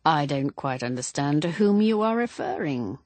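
A woman's voice: low-pitched, lively intonation, darkish tone, dry recording. A woman voicing a reserved, formal male police inspector in a storybook reading. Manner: cautious, measured, formal.

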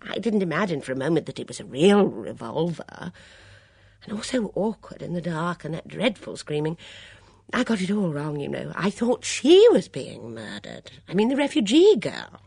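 A woman's voice: lowish voice, big pitch swings, moderate pace, gravelly, darkish tone, husky, no echo, studio quality, exaggerated voice. A woman voicing a good-natured, vague, middle-aged lady in an audiobook, breathless, hesitant and rambling as she dithers. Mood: flustered.

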